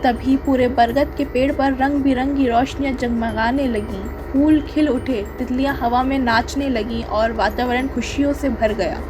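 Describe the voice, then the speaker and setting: A woman's voice: high voice, fairly even intonation, a little slow, hardly any pauses. A woman reading a scripted children's story as the storyteller.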